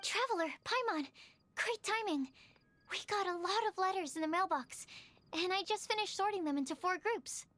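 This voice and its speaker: A woman's voice: extremely high pitch, normal speed, a bit bright, slight background noise. A woman voicing an eager, earnest young girl in a video game. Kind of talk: scripted video game voice acting. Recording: game music under the voice. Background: game music.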